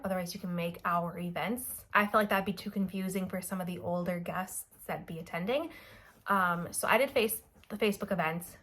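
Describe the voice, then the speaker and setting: A young woman talks to her camera at home, her voice a touch nasal and stuffy as she gets over a cold. She rambles along casually, filling pauses with "um" and "like". Her pitch sits in an ordinary middle range. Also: fairly bright tone. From Wisconsin, USA. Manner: chatty, rambling with many fillers like "um" and "like". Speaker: vlogger. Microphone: camera mic.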